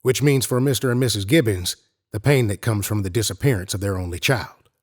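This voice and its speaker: A man's voice: fairly low pitch, brisk, natural voice. A man playing a brusque, impatient, weary special agent in an audio drama, curt and skeptical. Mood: skeptical, measured.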